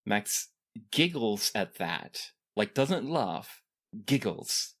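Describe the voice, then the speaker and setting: A game master improvising casual, descriptive narration for a tabletop game, pausing for effect. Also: medium pitch. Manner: animated, descriptive, pauses for effect.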